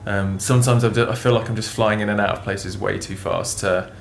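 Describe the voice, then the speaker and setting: A man's voice: on the low side, a little fast, gravelly, a little hiss or hum. Chatty guy vlogging to camera. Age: young adult. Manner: chatty, excitable, talks to camera. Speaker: travel vlogger. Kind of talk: casual vlog narration. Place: car, outdoors and hotel room. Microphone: camera held at arm's length.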